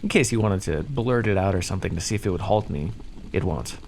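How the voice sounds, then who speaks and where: A man's voice: deep voice, lively intonation, quick pace, gravelly, darkish tone, slight background noise. A man voicing a smug, playfully menacing vampire captor in an ASMR roleplay, speaking to the listener in a theatrical, condescending and teasing way. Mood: coolly businesslike. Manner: condescending, theatrical, teasing drawl with pet names.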